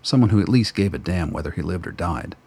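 Guy narrating a radio play, really deep and gravelly, kinda husky, wry. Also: lively intonation, fast talker, a little mellow. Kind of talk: scripted narration.

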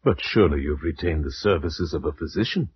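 A muffled vintage radio recording with faint noise carries a grave gentleman. His very deep voice is solemn, formal and measured, in the manner of gothic radio drama. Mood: concerned. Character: grave gentleman narrator, loyal and concerned friend. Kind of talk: 1940s radio acting, gothic narration.

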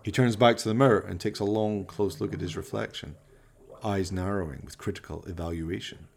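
A man's voice: low voice, fairly quick, gravelly, darkish tone. A man narrating a scripted radio play in an arch, florid, dramatic storytelling manner.

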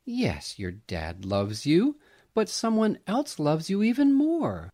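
Male narrator as gentle mother, storybook, tender, reassuring, slow, widely varied pitch. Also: huge pitch swings, heavily acted. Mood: gently earnest. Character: gentle, loving mother comforting her young daughter.

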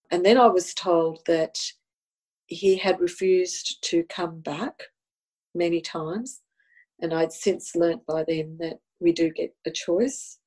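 A woman's voice: on the low side, a little mellow, low-quality recording. A woman, whose was Italian, telling a personal story in an earnest, reflective way, often repeating words as she goes. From Australia. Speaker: guest recounting personal story. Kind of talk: casual storytelling.

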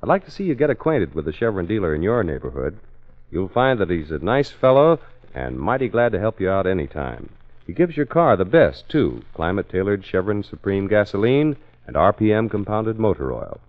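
Male American 1940s radio announcer, scripted delivery, low and animated, dull vintage recording. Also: fairly quick.

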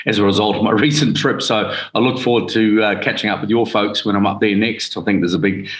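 A man with a fairly low voice hosts a business interview. He is friendly and a little halting, often restarting his sentences before getting to his question. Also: steady tone, a bit bright, low-quality recording. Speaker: interviewer, media host.

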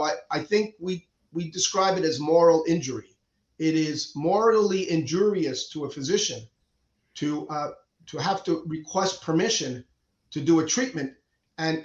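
Middle-aged guy from cuba, high voice, earnest and explaining, dull echoey lo-fi sound. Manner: earnest, explanatory, frequent restarts. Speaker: guest, hand surgeon. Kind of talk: conversational interview.

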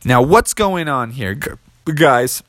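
A man's voice: medium pitch, lively intonation, takes it slowly, a bit bright, no room sound. A man voicing a brave, cheerful talking cowboy frog who boasts he will save the town. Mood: heroic.

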